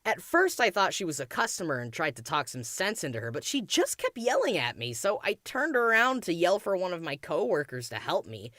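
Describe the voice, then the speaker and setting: Expressive woman telling a story, low-pitched. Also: a little fast, few pauses, no room sound, clean studio sound. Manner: irreverent, slangy, dramatic. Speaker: restaurant worker telling a personal ghost story. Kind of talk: casual spoken storytelling.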